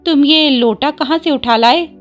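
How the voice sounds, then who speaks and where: A woman's voice: high-pitched, measured pace, muffled recording, soft background music, pitched above the performer's own voice. A woman voicing a devout, sensible wife who questions and advises in a devotional story. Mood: concerned, advising. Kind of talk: Hindi devotional story dialogue.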